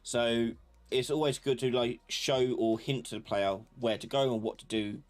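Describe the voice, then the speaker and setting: A man tutoring on a live stream in a casual, slightly halting way, often repeating words as he explains. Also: mid-range voice, fairly even intonation.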